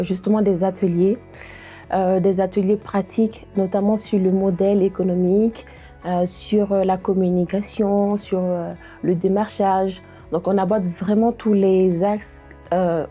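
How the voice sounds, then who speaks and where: A woman in her thirties from Côte d'Ivoire speaks in a very mellow, dark-toned voice. She tells her story slowly and with care, pausing often and filling the gaps with hesitations. Soft music plays faintly behind her. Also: medium pitch. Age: 30s. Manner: hesitant, many pauses and "euh" fillers. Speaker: diversity and inclusion trainer. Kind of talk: interview testimony to camera.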